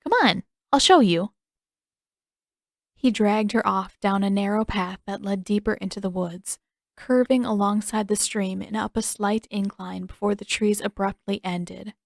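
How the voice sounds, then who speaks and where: A woman's voice: medium pitch, steady tone, moderate pace, gravelly, darkish tone, dry recording, clean studio sound. A woman reading scripted story narration as an audiobook narrator. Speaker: audiobook narrator and fan fiction writer.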